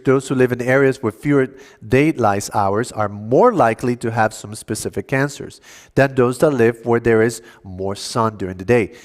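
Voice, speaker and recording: Man giving a health lecture. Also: medium pitch. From Cuba. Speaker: health seminar speaker at a church. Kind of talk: educational health lecture to an audience.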